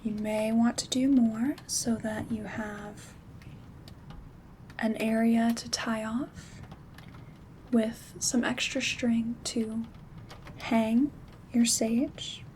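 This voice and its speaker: A woman playing a calm, gentle witch in an ASMR roleplay, soft-spoken and often slipping into whispers as she gives step-by-step instructions. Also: a little high, extremely slow, long pauses, a little hiss or hum. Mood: calm, focused. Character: calm, gentle witch crafting herb bundles. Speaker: ASMR host. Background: rain sounds.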